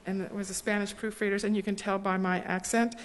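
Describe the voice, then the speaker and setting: A woman's voice: medium pitch, level tone, fast, slight background noise. Grateful woman speaking in a reverberant hall. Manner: warm, grateful, with frequent ums and restarts. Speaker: presenter thanking volunteers. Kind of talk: formal remarks at a public meeting. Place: large meeting hall.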